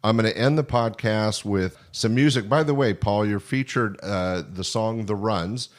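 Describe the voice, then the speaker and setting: Older guy podcast host, lowish voice, chatty interview, kinda rambly and earnest. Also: normal speed. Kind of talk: conversational interview.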